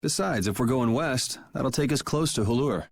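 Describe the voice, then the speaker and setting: A man's voice: mid-range voice, animated, moderate pace, no echo. A man voicing a cool, sardonic young swordsman in a video game, laid-back, wry and teasing. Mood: nonchalant. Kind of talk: English video game dub acting.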